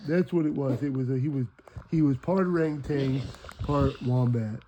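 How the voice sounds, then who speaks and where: A man's voice: medium pitch, normal speed, warm tone, slight background noise, close to an everyday voice. A man voicing a sleepy, muddled wombat who stumbles hesitantly through stories in an improvised puppet show. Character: drowsy, bumbling wombat puppet, a muddled storyteller.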